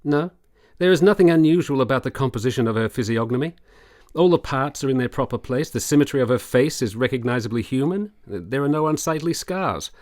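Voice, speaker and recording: Courteous, learned period doctor played by a man, animated intonation, dry recording, articulate and theatrical radio drama style. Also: mid-range voice, brisk, few pauses, no room sound, close to an everyday voice. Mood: grave warning. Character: learned, courteous army doctor, grave and kindly.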